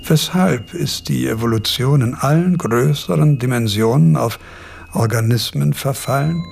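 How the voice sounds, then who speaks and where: Casting: learned, eccentric old philosopher in radio drama; gravelly, deliberate, given to morbid lectures. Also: male voice, mid-range voice, darkish tone, a little hiss or hum. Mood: musing. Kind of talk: German radio play acting.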